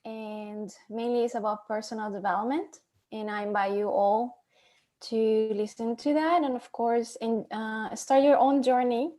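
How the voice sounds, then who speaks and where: A woman from Peru, a podcast host chatting as a guest, hesitant with many pauses and laughs. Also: fairly high pitch, steady tone, mellow. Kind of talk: casual interview chat.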